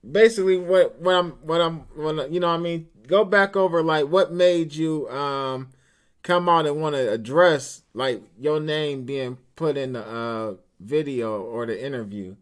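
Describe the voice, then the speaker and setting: A man's voice: on the high side, measured pace. A man hosting a casual live-stream interview, talking in an informal, slangy way. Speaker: host of an online call-in show.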